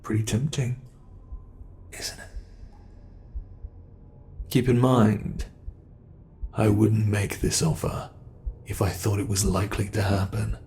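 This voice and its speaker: A sadistic monster from under the bed, voiced by a British man, speaking in a gravelly, unhurried drawl. He lingers over every word, mocking and menacing his captive with slow relish. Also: on the low side, flat delivery, a little mellow, a little hiss or hum. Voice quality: gravelly, slow, drawn-out delivery. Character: cruel male monster under the bed, toying with prey. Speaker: ASMR roleplay performer. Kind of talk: ASMR horror roleplay.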